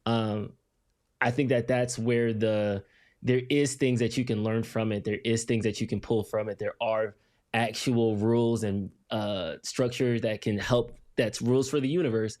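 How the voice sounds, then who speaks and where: A man's voice: lowish voice, steady tone, unhurried, darkish tone. A man co-hosting a live podcast, explaining his views in a loose, rambling way with lots of fillers. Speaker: co-host reading the chat. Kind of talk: casual live-stream talk.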